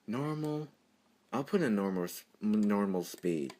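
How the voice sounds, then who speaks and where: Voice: low voice, expressive, measured pace, mellow. A tutorial presenter talking off the cuff over a screen recording, rambling and easily amused. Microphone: computer microphone during screen recording.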